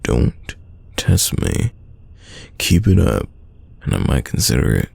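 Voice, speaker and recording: A man acting a teasing, stubborn young friend in an intimate roleplay audio drama, with a very deep, gravelly voice and a casual delivery full of drawn-out sighs. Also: very animated, darkish tone, no room sound, heavily acted. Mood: grumpy warning.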